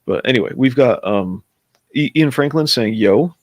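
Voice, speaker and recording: A man's voice: mid-range voice, animated, moderate pace, no room sound, clean studio sound. A man hosting a livestream, chatting casually and rambling as he breaks off to greet viewers and put questions to his guest. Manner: rambling, breaks off to read out chat messages. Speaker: host of a comics chat livestream.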